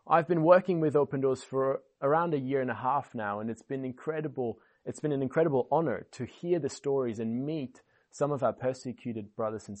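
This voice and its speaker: A man in his twenties from Switzerland with a Swiss accent, giving an earnest, enthusiastic sermon as a guest speaker at an online church service. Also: medium pitch.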